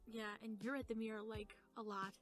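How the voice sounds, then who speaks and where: High-voiced woman podcaster chatting casually. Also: flat delivery, fairly quick, faint music behind. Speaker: podcast co-host. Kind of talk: casual in-character chat, telling personal stories.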